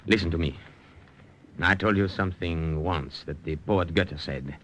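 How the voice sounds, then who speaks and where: An actor playing a tender, steady secret agent in an old radio drama, speaking gently and earnestly. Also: male voice, deep voice, unhurried. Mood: consoling. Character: tender, steady male fellow agent consoling her. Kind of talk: 1940s-50s radio drama acting. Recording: faintly noisy old radio recording.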